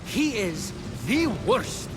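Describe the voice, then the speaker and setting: Game role: gruff, resentful craftsman god, high male voice with wide swings, loud music. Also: sing-song, slow pace, heavily acted.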